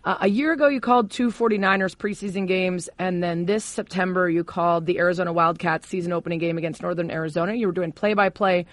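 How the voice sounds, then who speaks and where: A woman hosting an interview, with a voice lowered by vocal cord surgery, chatty and affirming as she asks long questions. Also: fairly even intonation, fast talker, hardly any pauses, dry recording. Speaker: podcast host, interviewer. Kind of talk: conversational interview.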